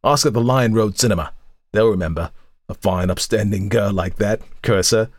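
A man's voice: on the low side, moderate pace, dry recording, studio quality, voice pushed up, natural voice. A man reading aloud, voicing a scarred, cunning old woman with a wheezing, wheedling voice. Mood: sly. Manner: leering, wheedling, calls everyone dearie.

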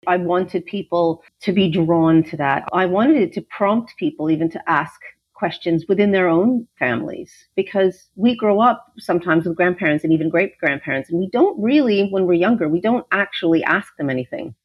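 A woman author whose first language is Ukrainian, telling her family story in an interview with lively, fluent storytelling. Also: fairly low pitch, normal speed, few pauses, husky, dull audio. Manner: animated, fluent storytelling with small restarts. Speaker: author, guest. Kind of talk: interview about her novel.

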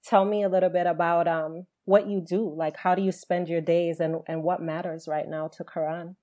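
A woman's voice: fairly low pitch, flat delivery, moderate pace, mellow. A woman hosting a podcast interview, asking curious, encouraging questions. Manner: encouraging, curious, brief affirmations. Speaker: podcast host.

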